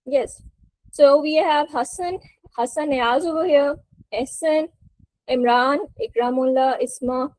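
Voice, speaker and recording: A woman's voice: high voice, a little slow, a little mellow, no echo, low-quality recording. A woman teaching a spoken English lesson to a group on an online call, encouraging and prompting participants. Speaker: English teacher hosting a webinar.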